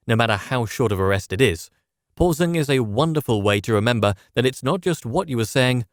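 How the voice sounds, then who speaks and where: A man presenting scripted instructional advice, speaking in a measured, explanatory way straight to the listener. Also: lowish voice, no room sound, clean studio sound. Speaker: presenter of public speaking lessons.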